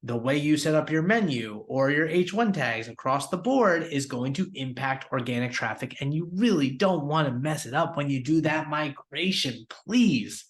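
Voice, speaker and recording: A man in his thirties talks through a weekly news recap as host, casual and upbeat. His voice sits a little above the usual male pitch, and he trips over words now and then as he keeps things conversational. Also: animated, normal speed, few pauses. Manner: upbeat, conversational, with fillers and restarts. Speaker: podcast host.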